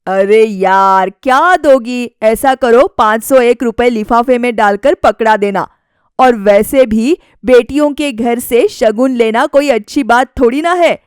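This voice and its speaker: A woman's voice: on the high side, measured pace, smooth, no room sound, studio quality, close to an everyday voice. Woman putting on a bossy, irritated husband. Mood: impatient. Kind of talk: acted dialogue in a family story.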